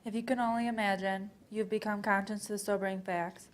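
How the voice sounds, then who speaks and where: A woman's voice: mid-range voice, steady tone, a little fast, close to an everyday voice. A young woman performing a scripted readers theater piece, reading aloud with occasional stumbles. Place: college library event. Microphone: worn microphone.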